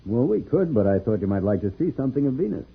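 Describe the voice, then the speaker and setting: An actor playing a calm, protective space adventurer in a 1950s radio serial, reassuring as he explains things. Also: mid-range voice, fast talker, vocal fry, husky, heavily acted. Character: Dancer the Planet Man, calm protective adult male space adventurer. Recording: tinny, muffled old radio recording.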